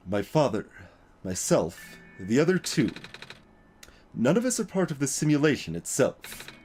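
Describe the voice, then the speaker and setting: An earnest young male game character, acted by a man, speaks carefully and formally, often halting. The delivery swings widely in pitch, and music plays behind the voice. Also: sing-song, a little mellow. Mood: solemn, explanatory. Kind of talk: voiced reading of video game dialogue.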